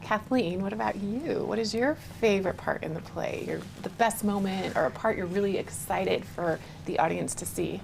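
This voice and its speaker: A woman's voice: mid-range voice, moderate pace, gravelly, few pauses. A woman hosting a conversational TV interview, putting questions to her guests. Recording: noticeable background noise.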